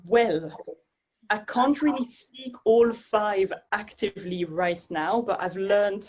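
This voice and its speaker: Young belgian woman, french is her first language, easy friendly chat, mid-pitched voice. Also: slow pace, a little mellow, slightly rough, poor audio. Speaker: dance artist guest. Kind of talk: casual interview chat.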